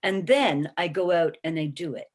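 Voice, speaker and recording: A woman's voice: fairly low pitch, lively intonation, moderate pace. A woman in her sixties speaking on a webinar panel, emphatic and encouraging, often checking in with "okay?". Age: 60s. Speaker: panelist, small business owner. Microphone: video call.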